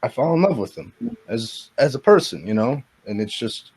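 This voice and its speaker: A man's voice: mid-range voice, very animated, normal speed, a little mellow, slightly rough, poor audio. A twenty-year-old man in a casual group chat, talking in an earnest, rambling, reflective way as a host.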